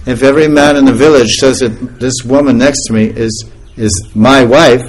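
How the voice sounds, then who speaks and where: A man's voice: mid-range voice, moderate pace, rough recording. A man giving an informal spiritual talk to a group, laughing often as he tells stories. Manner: laughing often, animated storytelling.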